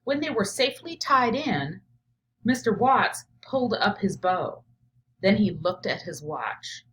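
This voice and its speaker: A woman's voice: medium pitch, lively intonation, measured pace. A middle-aged woman who teaches, reading a children's story aloud and reflecting on it in a thoughtful, think-aloud way. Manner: reflective, thinking out loud, often drops to a murmur while noting ideas. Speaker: teacher, professor.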